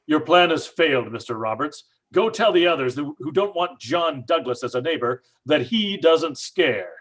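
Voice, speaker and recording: A man acting as a stubborn middle-aged father in an old-time radio chiller. His voice is fairly high and husky, swinging widely as he blusters and argues that it is all a hoax. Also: lively intonation, moderate pace, a bit bright. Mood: scornful. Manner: blustering, combative. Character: stubborn, skeptical father, angrily sure of a hoax.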